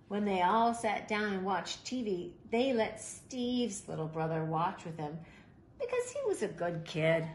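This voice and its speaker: A woman reading a children's picture book aloud in an expressive, animated way, with deliberate emphasis. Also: medium pitch. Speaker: storybook reader. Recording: roomy echo, faint background noise.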